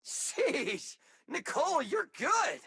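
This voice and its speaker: A woman voicing an easygoing, eager crew member in an anime-style game, informal and exclamatory, with a rough voice. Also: high-pitched, big pitch swings, slow, sharp tone, dry recording, studio quality, full character voice. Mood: impressed.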